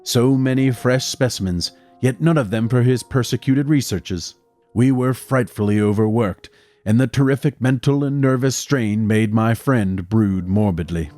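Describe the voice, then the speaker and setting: A man playing a mild young doctor who narrates and speaks in an audio drama, measured and formal. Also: mid-range voice, mellow, no room sound, faint music behind, lightly acted. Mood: somber narration. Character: mild, conciliatory young male doctor, loyal colleague and narrator. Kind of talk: literary first-person narration and dialogue in audio drama.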